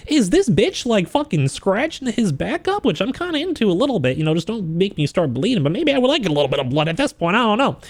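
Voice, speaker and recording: Higher-voiced man, casual streamer. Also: big pitch swings, fast, hardly any pauses. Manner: informal, joking, self-deprecating, profane asides. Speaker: streamer reading a novel aloud and reacting to it for chat. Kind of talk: casual livestream book reaction, mixing reading aloud with commentary.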